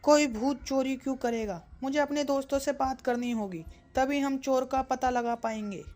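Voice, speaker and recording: A woman's voice: sits high, normal speed, a little mellow, natural voice. A woman voicing a brave, curious village boy in a children's cartoon, earnest and kind. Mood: determined. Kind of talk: children's cartoon story acting.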